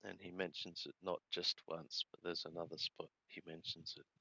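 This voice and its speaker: A man reading scripture aloud and translating it phrase by phrase, in a deliberate, halting way with careful enunciation. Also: sits low, little pitch movement, vocal fry, sharp tone, husky, dry recording, dull audio. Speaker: Bible reader and translator.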